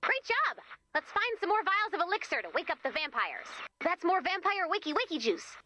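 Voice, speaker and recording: A woman's voice: very high voice, sing-song, brisk, bright, forceful tone, a little hiss or hum, exaggerated voice. A woman voicing a quirky, chirpy and playful commander character in a video game. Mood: playful, doting.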